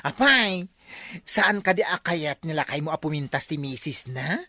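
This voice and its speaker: A woman's voice: low voice, lively intonation, normal speed, sharp tone, slight background noise. Actor as a swooping, sassy Filipino hairdresser woman. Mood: chatty, coaxing. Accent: Filipino, Ilocano. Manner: theatrical exclamations, playful gossip. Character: chatty, teasing village hairdresser, sassy and flamboyant. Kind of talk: Ilocano comedy drama acting.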